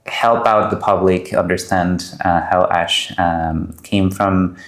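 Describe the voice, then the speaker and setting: A very deep-voiced interviewer asks long, wandering questions full of pauses and small jokes. The voice rings out in a roomy, echoey space. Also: steady tone. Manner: rambling, hesitant, joking.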